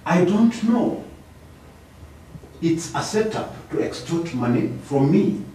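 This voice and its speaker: An actor playing a defensive middle-aged accused man testifying in a TV courtroom drama, halting and deliberate, with a Kenyan accent. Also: fairly high pitch, very animated, warm tone. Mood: defensive, earnest. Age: 50s. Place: indoor courtroom set. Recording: echoey room, distant mic, faint noise.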